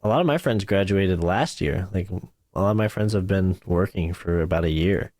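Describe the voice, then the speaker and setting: A man's voice: low voice, moderate pace, a little mellow, dry recording, studio quality. A man in his twenties, a YouTuber chatting casually on a podcast, laid-back and saying "like", "dude" and "bro" often. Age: 20s.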